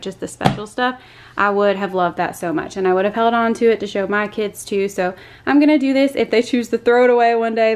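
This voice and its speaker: A woman, chatting casually in a voice-over for her home vlog. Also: medium pitch. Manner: chatty, confiding, says y'all. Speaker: vlogger.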